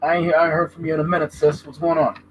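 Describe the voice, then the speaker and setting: A man's voice: a little high, level tone, fast talker, a little hiss or hum, low-quality recording. A man from Haiti hosting a livestream, giving emphatic opinion commentary and stopping often to ask "right?". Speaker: channel host.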